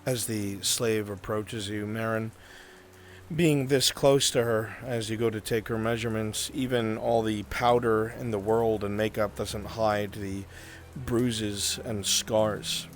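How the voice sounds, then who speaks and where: A man with a fairly low voice narrates a live fantasy roleplay as game master. He improvises scene descriptions over faint rain noise. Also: fairly even intonation, slow pace.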